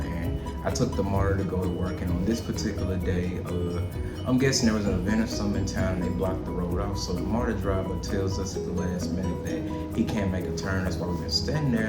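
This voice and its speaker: A man in his thirties from Alabama, telling a casual storytime to his viewers and calling them y'all. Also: deep voice. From Auburn, Alabama. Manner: chatty, addresses viewers as y'all. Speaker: vlogger and chef. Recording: roomy echo with music behind.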